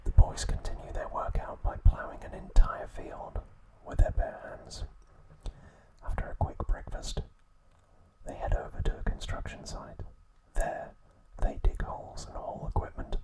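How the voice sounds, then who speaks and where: A man narrating scripted ASMR in a breathy, unvoiced whisper, with a measured, soothing delivery. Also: rough recording. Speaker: ASMR channel host.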